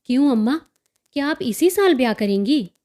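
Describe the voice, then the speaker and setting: A woman voicing a sulky, easily offended young daughter-in-law in a story, answering in sharp, curt retorts. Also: high-pitched, animated, normal speed. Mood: questioning, reluctant.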